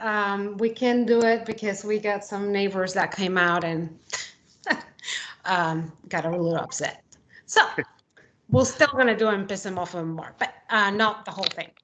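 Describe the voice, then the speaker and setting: A woman's voice: medium pitch, a bit bright. Argentinian lady, chatting through a video call presentation, giggly, lots of ums. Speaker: employee presenting to coworkers.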